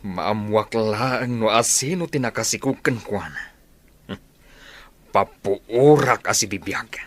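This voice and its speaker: A man's voice: lowish voice, animated, slow, a bit bright, heavily acted. A man voicing a stern, middle-aged Filipino father with an Ilocano accent, harsh and scolding in a radio drama. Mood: grim, menacing. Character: stern, angry father who disowned his pregnant daughter.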